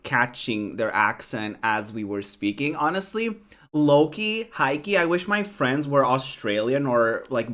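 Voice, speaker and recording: A woman's voice: very deep voice, fairly bright tone. A young Portuguese woman with an American accent, a streamer chatting casually with her viewers, giggling often and rambling with lots of "like" and "um". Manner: giggly, rambling, says "like" and "um" a lot. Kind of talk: casual chat with live viewers.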